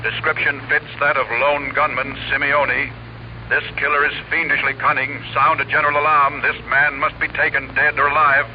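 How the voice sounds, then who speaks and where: An actor playing a stern police radio dispatcher, reading bulletins in clipped 1940s radio style. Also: male voice, a little high, flat delivery, normal speed, close to an everyday voice. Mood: urgent official. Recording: very noisy, dull 1940s radio recording.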